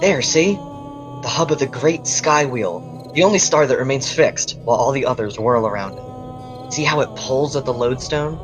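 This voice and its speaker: A man's voice: mid-range voice, normal speed, natural voice. A man playing a young elf stargazer, a loyal and curious friend, speaking earnestly in a dramatic comic dub. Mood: awed. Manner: expressive, earnest. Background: loud music.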